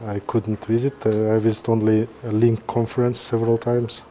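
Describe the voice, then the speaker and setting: A middle-aged man with a Kazakh accent gives informal live commentary as a surgeon, halting and pausing mid-sentence. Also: lowish voice, monotone, dark tone, slight background noise. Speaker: neurosurgeon presenting a live case.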